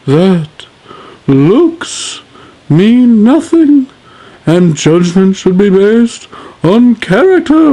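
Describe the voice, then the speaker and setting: Pompous fat king, calm and grand, put on by a storyteller, for a comic story. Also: high-pitched, pitched above the performer's own voice, exaggerated voice, poor audio. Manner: calm, grand, drawling speechmaking. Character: pompous, self-assured fat king, man.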